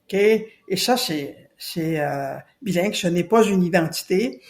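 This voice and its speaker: A woman's voice: on the low side, lively intonation, unhurried, darkish tone. A woman from New Brunswick, speaking earnestly as a podcast guest and repeating her key points for emphasis. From Acadia, New Brunswick. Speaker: guest, citizen committee spokesperson. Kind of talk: podcast interview.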